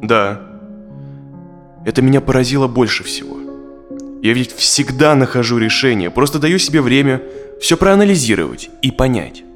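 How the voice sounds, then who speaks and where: A man's voice: medium pitch, animated, normal speed, long pauses, lightly acted. An actor playing an anxious, self-doubting businessman in an audio drama, speaking reflectively and dramatically as a therapy client. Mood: doubtful, hopeful. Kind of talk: dramatized audio play acting. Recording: music behind the voice.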